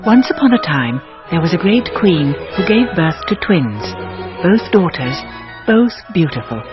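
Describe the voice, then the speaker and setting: An actress playing a worldly French countess and storyteller in a radio drama, husky, aristocratic and drily ironic. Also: female voice, fairly low pitch, big pitch swings, unhurried, muffled recording, over loud music. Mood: storytelling, ironic.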